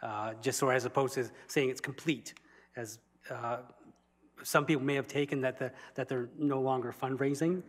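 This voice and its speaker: A man's voice: mid-range voice, steady tone, normal speed. A man councillor speaks in a town council meeting in an ordinary mid-range voice. He works through a clarification carefully, with plenty of fillers along the way.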